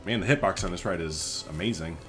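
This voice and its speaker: A man's voice: deep voice, fast, fairly bright tone, soft background music. A man hosting a gaming video, chatting casually and playfully as he thinks aloud through his play. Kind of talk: casual let's play commentary.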